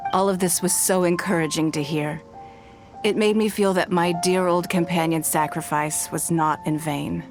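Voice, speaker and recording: A woman voices a wealthy, composed lady of high standing in a game scene. Her voice sits fairly low for a woman and her phrasing is formal and measured. She carries a quiet grief beneath her poise, with soft music playing behind her. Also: lightly acted. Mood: moved, reflective. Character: composed, wealthy noblewoman leader, quietly grieving, confiding. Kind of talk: scripted video game dialogue.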